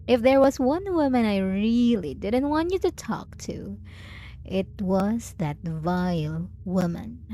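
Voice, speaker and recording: A woman playing a jealous, possessive yet doting lover in an intimate ASMR roleplay, teasing and condescending with deliberate pauses. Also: on the high side, mellow, exaggerated voice. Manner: slow, teasing, condescending pet-name cooing with deliberate pauses.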